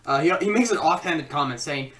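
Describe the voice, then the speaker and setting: Woman, casual film reviewer, rambling, comedic, lively swooping pitch, distant microphone. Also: brisk. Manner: rambling, excitable delivery.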